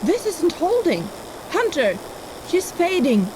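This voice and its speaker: A woman's voice: very high-pitched, sing-song, slow pace, background noise, full character voice. A woman playing a wry, irreverent witch and healer in a fantasy audio drama, wordy and sardonic. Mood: urgent, panicked. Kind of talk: fantasy audio roleplay acting.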